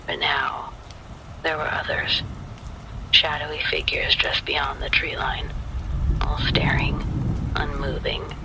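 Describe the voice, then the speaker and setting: A man narrating a scripted spooky story in the first person, in a hushed, deliberate, suspenseful manner. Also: a little high, vocal fry, low-quality recording.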